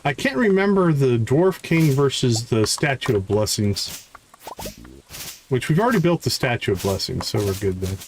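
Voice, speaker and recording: A man's voice: medium pitch, lively intonation, normal speed. Friendly male game streamer, some background noise. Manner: friendly, greets viewers by name. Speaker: livestream host playing a farming game. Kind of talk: casual live game commentary, chatting with viewers.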